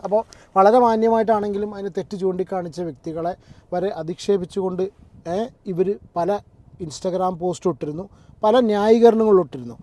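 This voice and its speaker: A man's voice: sits high, warm tone. A man giving casual, digressive online commentary, circling back and rephrasing as he talks. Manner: conversational, digressive, repeats and rephrases himself. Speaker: YouTube commentator reacting to online controversy.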